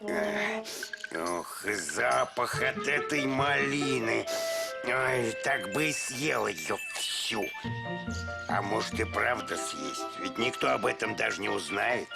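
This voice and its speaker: An actor voicing a slow, bashful, greedy young male bear cub in a children's cartoon, dragging the words sheepishly. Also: mid-range voice, animated, creaky, loud music behind the voice, full character voice. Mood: tempted, greedy.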